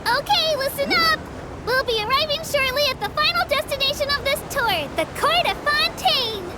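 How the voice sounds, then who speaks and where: A woman's voice: very high voice, expressive, moderate pace, very noisy. A woman voicing a small childlike creature in a video game, a cheerful, earnest tour guide who makes polite, chirpy scripted announcements. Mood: upbeat announcing. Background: game music.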